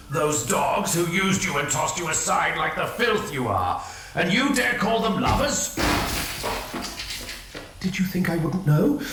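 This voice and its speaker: A bitter, self-righteous husband, played by a man spits religious scorn, his voice swinging wildly up and down. He sits a little high for a man. The recording is awash in heavy echo with clear music underneath. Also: a bit bright, exaggerated voice. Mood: contemptuous. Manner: venomous, preaching, full of religious scorn. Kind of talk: audio drama acting.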